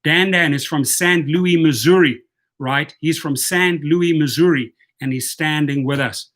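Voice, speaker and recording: A man's voice: a little high, unhurried, a bit bright. A man with a South African accent hosting a livestream, rallying viewers and calling out long lists of cities and viewer comments. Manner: reads viewer comments aloud. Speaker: channel host. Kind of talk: livestream call to action.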